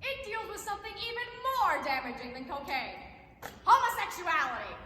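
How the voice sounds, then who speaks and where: In a reverberant hall with loud noise, a woman plays a perky infomercial saleswoman for a stage parody. Her high, animated voice gushes with exaggerated, theatrical salesmanship. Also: moderate pace. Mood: announcing, dramatic. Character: perky infomercial saleswoman with gushing, over-bright pitch. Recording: echoey hall, noisy live stage recording.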